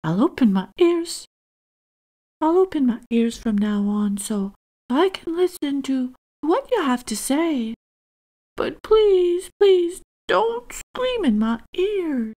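A woman's voice: high-pitched, very animated, slow pace, warm tone, pitched above the performer's own voice, heavily acted. A woman voicing a timid, innocent little boy who repeats himself hesitantly in a children's story. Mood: pleading.

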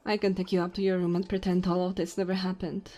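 A woman voicing a tipsy, flirty goblin innkeeper in an intimate ASMR roleplay, soft and teasing with hesitant pauses. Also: on the low side, level tone, faint music behind, close to an everyday voice. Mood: apologetic, sheepish.

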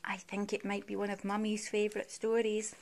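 A woman reading a children's story aloud in an expressive way, like a parent at storytime. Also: medium pitch, fairly quick, gravelly, very noisy. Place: living room at home.

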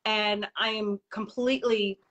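Bright, effusive woman host. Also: medium pitch, fairly even intonation, a little slow. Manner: effusive and enthusiastic. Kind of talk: live interview. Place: indoor room. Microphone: video call.

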